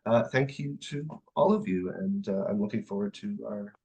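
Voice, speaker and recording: A man's voice: lowish voice, moderate pace, darkish tone, husky, poor audio. A man giving an academic presentation in a discursive, hesitant, thinking-aloud way. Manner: hesitant, discursive, hedging phrasing. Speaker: academic speaker, literary scholar. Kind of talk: conference presentation, semi-improvised talk.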